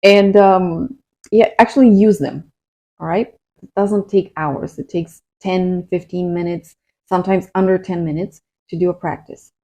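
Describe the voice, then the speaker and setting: A woman from Romania, a breathwork coach giving gentle, unhurried advice in a casual vlog. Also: fairly low pitch, steady tone.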